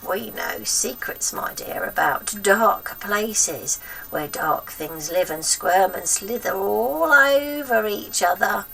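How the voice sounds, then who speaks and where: Woman voicing a sinister, creaky old grandmother. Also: mid-range voice, distant mic, noisy background. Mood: eerie, threatening. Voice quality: throaty, breathy whisper at times. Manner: drawn-out, menacing, relishing nasty words.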